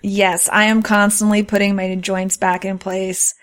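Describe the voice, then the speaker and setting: A woman's voice: medium pitch, flat delivery, measured pace. A woman co-hosting a podcast, talking casually and explaining things in an earnest way. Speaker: podcast co-host. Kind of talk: casual conversational podcast talk.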